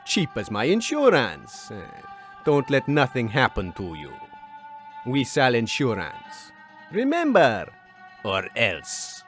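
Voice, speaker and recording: A man voicing a menacing weasel insurance salesman, deadpan and deliberate in broken English for a parody radio advert. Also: medium pitch, faint music behind, voice pushed down. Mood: smug menace.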